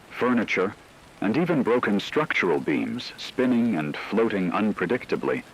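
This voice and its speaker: A man narrates a dramatic radio story in a very low voice. His delivery is slow and solemn, weighted with deliberate pauses. Faint rain-like ambience sits behind him. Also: creaky, a bit bright, a little hiss or hum, poor audio. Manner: grave, measured, portentous delivery with deliberate pauses.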